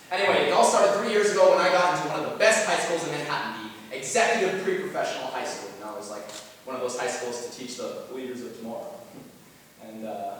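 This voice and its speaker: A young man performing a dramatic monologue as an anxious twenty-year-old looking back on depression, halting and earnest, with theatrical swings in volume. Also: mid-range voice, close to an everyday voice. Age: young adult. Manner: halting, earnest, swinging from quiet to loud outbursts. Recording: very echoey hall from far off, noisy.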